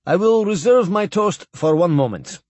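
A man's voice: fairly high pitch, very animated, unhurried, slightly rough, no echo, pitched above the performer's own voice, exaggerated voice. A man voicing a proud, formal old admiral who speaks in clipped phrases without articles. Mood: ceremonious. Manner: clipped speech dropping articles.

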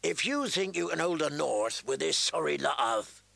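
A man's voice: fairly high pitch, lively intonation, moderate pace, gravelly, no room sound, pitched above the performer's own voice, full character voice. A man doing a furious defiant blacksmith. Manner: shouting, defiant.

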